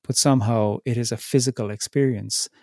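A middle-aged man, talking in a thoughtful, searching way that often stops and restarts mid-thought. His voice has a gravelly edge, and the recording is close and dry, with no room sound. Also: medium pitch, steady tone, brisk, dark tone, no room sound. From Ireland. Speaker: theologian in residence, interview guest. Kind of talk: reflective interview conversation.

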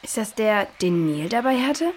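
A woman's voice: mid-range voice, very animated, moderate pace, a little hiss or hum, natural voice. A woman playing a practical, helpful girl searcher in a children's audio drama, inquisitive and matter-of-fact. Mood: curious, helpful.

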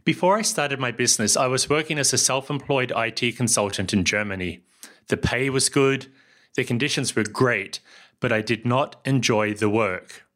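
A middle-aged man from New Zealand with a New Zealand accent, hosting a podcast and telling his story in a measured, scripted way. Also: mid-range voice, a bit bright. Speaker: podcast host. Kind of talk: scripted solo podcast narration.

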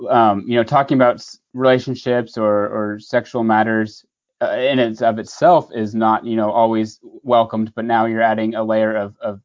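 Chatty podcast host, lo-fi sound. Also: male voice, on the low side, little pitch movement, normal speed. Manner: loose, chatty, many restarts. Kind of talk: casual podcast interview. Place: at home in Southern California.

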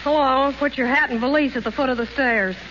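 An actress in a 1930s radio play playing a sardonic household maid with a dry, deadpan, wisecracking delivery. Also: female voice, high voice, brisk, lightly acted. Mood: wry. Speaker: Molly. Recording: dull old radio broadcast with hiss.

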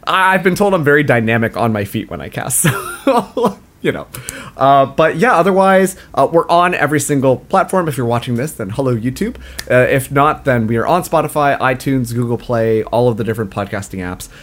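Man, late twenties, podcast co-host, lively swooping voice, laughs a lot. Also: medium pitch, fairly quick. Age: late 20s. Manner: laughs often, animated and self-mocking. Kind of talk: casual podcast chat.

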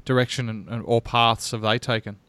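A man's voice: medium pitch, flat delivery, brisk, a bit bright, smooth, no echo. A man hosting an interview, asking questions in a friendly, loose way and calling his guest "mate". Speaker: podcast host.

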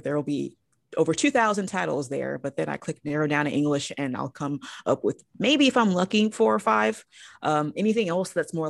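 A woman's voice: deep voice, vocal fry, no echo, clean studio sound. A woman hosting a podcast in a casual discussion, talking informally and frankly with a jokey streak. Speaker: podcast host.

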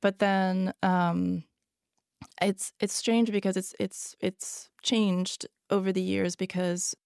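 A woman's voice: medium pitch, level tone, takes it slowly, creaky, a little mellow, no echo, clean studio sound. A woman author born in Hungary and raised in Sweden, a native Hungarian speaker, answering interview questions hesitantly with many fillers and restarts. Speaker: author guest. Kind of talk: podcast interview.

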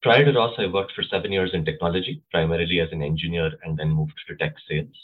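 A man in his thirties from India, explaining things in a relaxed way as a podcast guest. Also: low-pitched, fast, slightly rough, phone-quality sound. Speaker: guest, club co-president. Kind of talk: interview answers.

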